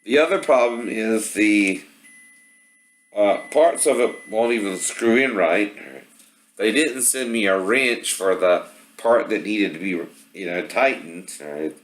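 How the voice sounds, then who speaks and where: An echoey home-video recording of a man with a fairly low voice. He talks casually and rambles through a product demonstration like a vlogger. He keeps checking in with a short "right?" at the end of his statements. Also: takes it slowly. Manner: often tags statements with "right?". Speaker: vlogger showing product assembly problems.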